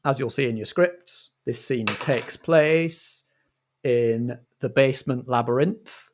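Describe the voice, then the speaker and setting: A British man hosting and narrating improvised radio comedy in a measured, mock-formal manner. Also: mid-range voice, a little hiss or hum. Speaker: host and game master.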